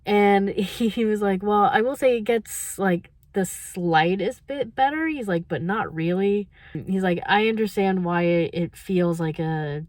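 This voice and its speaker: A woman's voice: medium pitch, unhurried, darkish tone, no room sound. A young woman talking casually in a reading vlog, chatty and laughing often between her thoughts. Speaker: book vlogger.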